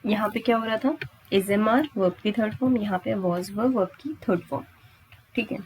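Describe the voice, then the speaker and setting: A woman's voice: mid-range voice, normal speed, a little mellow, slight background noise. An Indian woman teaching grammar step by step, repeating points and answering her own questions with frequent check-in tags. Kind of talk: exam-prep lesson at a board, explaining step by step.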